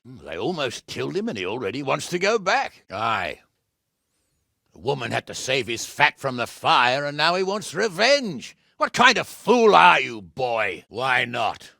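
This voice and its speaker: An actor playing a gruff, sardonic middle-aged medieval lord and commander in a video game, blunt and coarse, with a gravelly voice. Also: male voice, medium pitch, very animated, a little slow, sharp tone, dry recording, clean studio sound, exaggerated voice. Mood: scornful, mocking. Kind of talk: dramatic video game voice acting.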